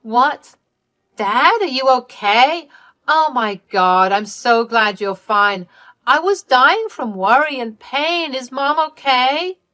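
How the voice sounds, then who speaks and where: A woman voicing a self-pitying young adult sister who pleads and defends herself in a high, strained voice. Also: sing-song, slow. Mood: pleading.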